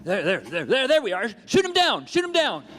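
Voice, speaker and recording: Man acting an excitable, animated cowboy ranch hand. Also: very high voice, fairly quick, heavily acted. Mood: excited, alarmed. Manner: folksy, repetitive, eager Western talk. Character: excitable male ranch hand, loyal and earnest. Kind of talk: live Old West radio play acting. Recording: echoey concert hall.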